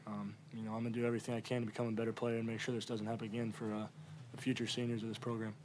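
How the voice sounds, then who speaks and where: Young college qb at the presser, quick and flat, down on himself, faint room noise. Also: male voice, lowish voice. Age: young adult. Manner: subdued, self-critical, many fillers. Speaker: college quarterback. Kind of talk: postgame press conference answers.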